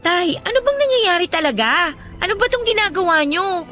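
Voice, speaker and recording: A woman's voice: extremely high pitch, expressive, normal speed, bright, forceful tone, soft background music, full character voice. A woman with a Filipino accent playing a worried, inquisitive schoolgirl daughter in a radio drama, respectful but insistent. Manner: insistent, questioning. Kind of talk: Tagalog radio drama acting.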